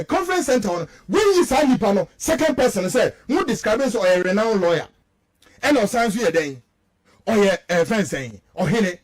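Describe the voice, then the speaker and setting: A man with a Ghanaian accent giving impassioned political commentary as a radio host, emphatic and repetitive, firing off rhetorical questions. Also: high-pitched. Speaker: radio talk show host.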